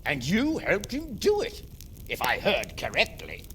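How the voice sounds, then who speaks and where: A man's voice: fairly high pitch, wildly swooping pitch, unhurried, a bit bright, a little hiss or hum, exaggerated voice. A man playing a blunt, wry, impatient medieval lord in a video game, with a sardonic manner. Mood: sardonic, probing. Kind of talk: dramatic video game acting.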